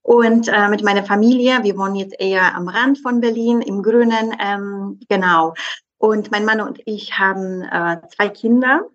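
A woman, chatting casually as a guest and filling her sentences with ums and a steady "genau". Her voice sits at a middle pitch, and the recording sounds a bit dull and lo-fi. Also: unhurried. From southeast Poland. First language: Polish. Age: 40s. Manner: hesitant, many fillers, frequent "genau". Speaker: interview guest, mother of two. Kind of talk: casual interview conversation.

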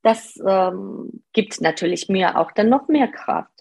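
A woman's voice: medium pitch, animated, slow pace, gravelly, a little mellow, husky. A woman in her thirties answering interview questions earnestly, hesitating and searching for words with many fillers. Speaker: podcast guest, part-time manager.

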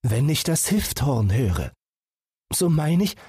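A man voicing an eager young enchanted fawn who pleads excitedly in a fairy tale. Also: mid-range voice, takes it slowly, husky, no room sound, clean studio sound, voice pushed up, heavily acted. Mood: eager, pleading.

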